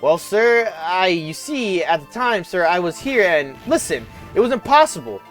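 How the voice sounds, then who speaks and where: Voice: mid-range voice, big pitch swings, moderate pace, soft background music, lightly acted. A streamer voicing a jumpy young male rookie police officer who nervously ends every line with "sir", while reading game dialogue aloud. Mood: flustered.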